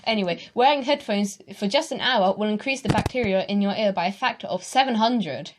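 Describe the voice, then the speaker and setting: A woman's voice: mid-range voice, a little fast, background noise. A young woman from the UK co-hosting a casual chat, reading out facts and rambling with many ums. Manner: rambling, hesitant with many fillers. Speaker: co-host.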